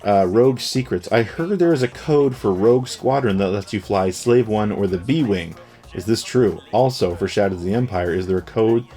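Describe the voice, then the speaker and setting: A middle-aged man with a fairly low voice talks through an old gaming magazine at an easy, meandering pace. He fills the gaps with ums and uhs, and faint music plays quietly behind him. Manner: relaxed, rambling, with frequent fillers. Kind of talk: casual commentary.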